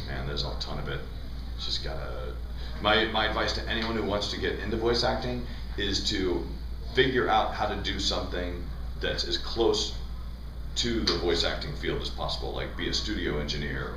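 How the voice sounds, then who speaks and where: Deep-voiced man at a convention panel. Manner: laid-back, chatty, laughs often. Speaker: panel guest answering fan questions. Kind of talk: casual convention Q&A. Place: large convention hall with audience. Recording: echoey hall recorded from a distance, noisy.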